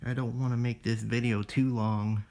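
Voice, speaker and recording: A person giving a casual hands-on electronics demo in a halting, piecemeal way. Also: medium pitch, level tone, dark tone. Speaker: demonstrator in a homemade electronics video.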